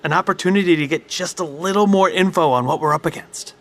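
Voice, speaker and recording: An actor playing a curious, persuasive survivor in an audio drama, speaking earnestly and urgently. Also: male voice, a little high, fast talker, fairly bright tone, slight background noise.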